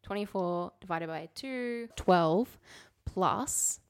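A woman's voice: lowish voice, slow pace, smooth. A woman co-hosting a podcast, chatting casually in a playful, mock-serious way as she talks things through. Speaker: podcast co-host. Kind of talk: casual comedic chat, working through a formula aloud.

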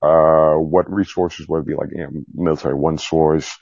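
Very deep, husky-voiced man. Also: flat delivery, a little fast, darkish tone, no echo, muffled recording. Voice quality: low, gravelly-husky voice. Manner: rambling, self-deprecating, mildly profane. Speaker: podcast guest, Navy veteran. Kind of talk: candid casual interview chat.

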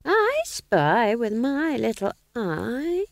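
A woman reading aloud, voicing an earthy, bossy older woman witch with a slow, teasing drawl. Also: fairly high pitch, big pitch swings, vocal fry, no echo, voice pushed up, exaggerated voice.

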